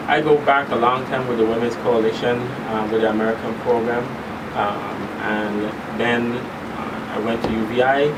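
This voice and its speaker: A man's voice: medium pitch, steady tone, moderate pace. A middle-aged man speaking casually as an expert guest counselor in an interview. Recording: loud background noise, distant mic.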